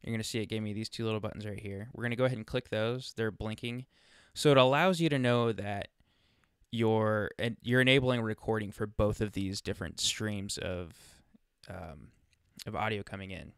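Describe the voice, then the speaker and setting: A man with a clear, fairly low voice guides listeners through software steps in a casual, chatty way. He thinks aloud as he demonstrates. The sound is close and dry, as from a USB microphone right by him. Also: moderate pace, no echo. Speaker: tutorial presenter. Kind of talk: casual screen-recorded software walkthrough.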